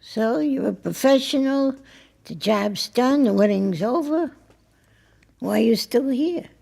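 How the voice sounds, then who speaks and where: Casting: wry married lady for radio play. Highish, very creaky, hesitant, unfussy. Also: animated, unhurried, mellow, exaggerated voice. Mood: curious. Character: wry, chatty married woman, wistful and unfussy. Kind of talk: naturalistic audio drama acting.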